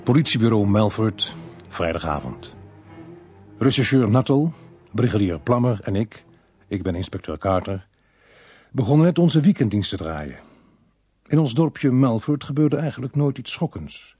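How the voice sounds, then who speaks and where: An actor playing a brisk, matter-of-fact police inspector who narrates his own case in a radio drama. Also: on the low side, big pitch swings, mellow, faint music behind. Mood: measured narration.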